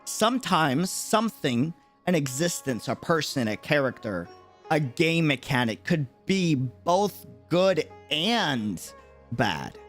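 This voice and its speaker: Male game streamer, lively swooping intonation, earnest chatty explainer, faint music behind him. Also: on the high side, takes it slowly. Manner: conversational, earnest, explaining by examples. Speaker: game livestreamer. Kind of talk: casual livestream commentary.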